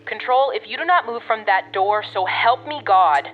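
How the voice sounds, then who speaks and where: An actress playing a gruff, exasperated but protective woman guiding a colleague over the radio in an audio drama, bossy and sardonic. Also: fairly high pitch, animated, normal speed, phone-quality sound, soft background music. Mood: alarmed, exasperated.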